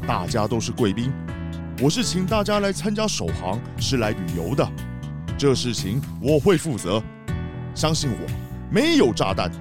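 Man playing a grand, animated city mayor. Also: mid-range voice, natural voice. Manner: grand, reassuring host's address. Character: jovial, reassuring city mayor hosting a cruise. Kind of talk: children's mystery audio drama.

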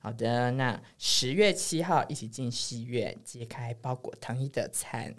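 A woman's voice: a bass voice, a little slow. A woman with a Taiwanese Mandarin accent co-hosting a casual podcast chat in a playful, giggly, teasing manner. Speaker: podcast co-host.

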